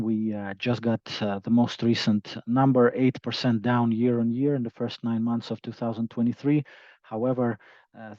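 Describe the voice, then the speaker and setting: A man presents financial results on a conference call as an executive. His delivery is halting and hesitant, broken by frequent pauses, at a middling pitch. The sound is dry and somewhat dull, like lo-fi call audio. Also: a little mellow, no room sound.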